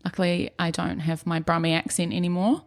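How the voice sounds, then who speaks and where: A young woman speaks in a slightly low voice. She is a guest on an interview podcast and talks in a relaxed, chatty way, full of little fillers. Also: fairly quick. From New Zealand, born in England. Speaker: artist guest. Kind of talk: casual interview chat.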